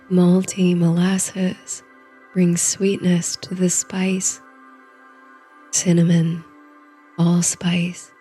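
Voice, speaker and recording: A woman narrating a guided sleep story in a soothing, hushed, unhurried way. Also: lowish voice, no room sound, clean studio sound.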